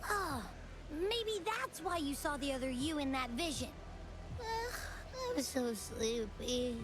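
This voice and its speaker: A woman's voice: sits high, big pitch swings, takes it slowly, music in the background, full character voice. A woman voicing a small, childlike, drowsy companion creature in a video game. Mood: sleepy, whiny.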